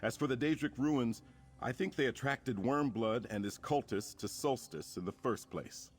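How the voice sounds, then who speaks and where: An actor playing a grave, resolute military leader in a fantasy game, speaking formally and earnestly with a commanding manner. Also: male voice, mid-range voice, normal speed, breathy edge, natural voice. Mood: grave, informative. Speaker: quest-giving game character. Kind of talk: scripted fantasy game dialogue. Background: music.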